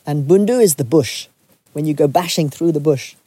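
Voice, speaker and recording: A retreat leader from Zambia giving a soft, reflective talk to a group, pausing often and restarting phrases. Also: medium pitch, slightly rough, dry recording.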